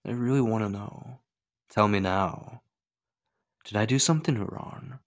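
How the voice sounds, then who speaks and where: A man playing an obsessive, possessive stalker lover in a horror ASMR roleplay, halting and breathy, clingy and menacing. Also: lowish voice. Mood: infatuated, anxious. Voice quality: husky, breathy. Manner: slow, halting, slipping between breathy whispers and urgent pleading. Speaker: voice actor.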